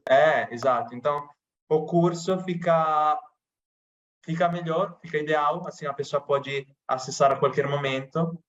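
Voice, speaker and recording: A man from Italy speaking with an Italian accent, chatting casually in a podcast interview with many pauses and restarts. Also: a little high, lo-fi sound. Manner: hesitant, many restarts and fillers. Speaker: interviewee, channel host.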